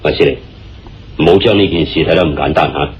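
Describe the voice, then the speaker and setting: A man with a Hong Kong Cantonese accent playing a genial, commanding police chief in a radio drama, speaking in a hearty, deliberate, theatrical way. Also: extremely low pitch. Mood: grave, cautioning. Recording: muffled lo-fi radio drama with music.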